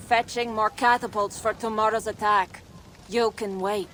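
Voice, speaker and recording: A woman's voice: mid-range voice, fairly even intonation, slow pace, a bit bright, slight background noise, rough recording. A woman playing a cold, cryptic, fatalistic member of a Viking warband in a video game, speaking drily and ominously. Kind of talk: video game cutscene acting.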